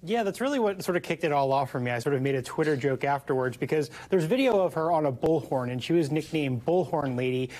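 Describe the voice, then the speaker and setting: A man's voice: on the high side, lively intonation, fast talker, hardly any pauses, a little mellow. An American man in his thirties, a reporter explaining things conversationally in a live news interview with loose, informal phrasing. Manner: informal, loosely hedged phrasing with "sort of" and "you know". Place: TV news studio.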